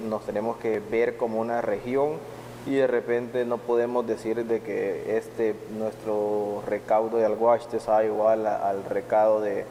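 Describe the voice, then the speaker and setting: A man's voice: fairly low pitch, little pitch movement, a little slow, warm tone. A man speaking as a chef on a panel, reflective and hesitating often. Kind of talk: conference discussion. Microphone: video call. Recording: reverberant room, faint noise.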